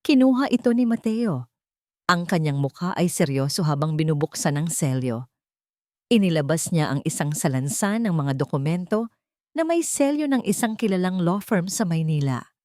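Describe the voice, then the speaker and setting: A woman with a fairly low voice narrates a scripted drama. Her delivery is deliberate, solemn and full of feeling, recorded close and dry. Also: expressive, warm tone, no echo. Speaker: audio story narrator. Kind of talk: dramatic scripted first-person story narration.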